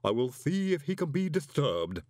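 A man voicing a stumpy, impassive manservant in an audiobook, formal, deadpan and deferential. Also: a little high, dry recording, clean studio sound, pitched above the performer's own voice. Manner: deadpan, ceremonious, unhurried servility.

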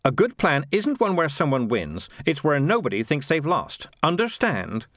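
A man's voice: a little high, normal speed, no room sound, studio quality, pitched above the performer's own voice, heavily acted. Man voicing a grumpy, sardonic talking cat. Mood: weary scorn. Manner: gloomy, sighing, deadpan sarcasm.

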